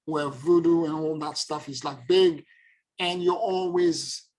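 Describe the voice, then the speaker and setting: A man's voice: on the high side, fairly even intonation, unhurried, a little mellow. A man from Haiti, a spiritual teacher, giving an earnest, introspective monologue and searching for his words as he goes. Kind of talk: reflective spoken monologue.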